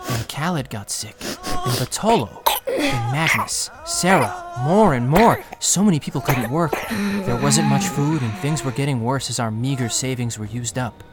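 A man acting the narrator of an audio drama, an earnest, self-doubting young man from an undercity slum, speaking in a halting, confessional, emotional way. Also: medium pitch, lightly acted. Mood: sorrowful. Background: music bed.

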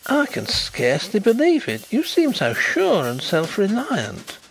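An effusive storybook gentleman detective, proud and easily flustered, as a man acts him out. His voice is pushed higher and swoops expressively, with a stiff politeness. Faint music plays behind him. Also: huge pitch swings, unhurried, vocal fry, exaggerated voice, low-quality recording. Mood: sympathetic, surprised. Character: Carlyle, excitable, sympathetic gentleman, proud private inquiry agent.

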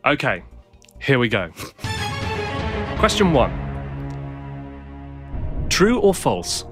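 A man hosting a comedy radio show, delivering absurd bits in a mock-serious, deadpan way. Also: medium pitch, extremely slow, over music. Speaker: radio host.

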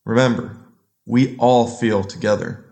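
An actor playing a composed, officious dystopian government enforcer in an audio drama, measured in his delivery. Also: mid-range voice, steady tone, gravelly, dark tone. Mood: official, controlled.

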